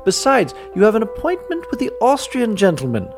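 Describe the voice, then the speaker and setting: A man voicing a formidable, imperious older female secretary who scolds briskly in a high, put-on voice. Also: huge pitch swings, music in the background, heavily acted.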